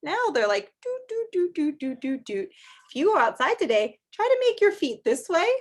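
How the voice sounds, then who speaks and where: Expressive high-voiced woman reading a storybook aloud. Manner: expressive, drawn-out storybook reading with chatty asides. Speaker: teacher reading aloud to young children. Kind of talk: read-aloud storytime with questions to children.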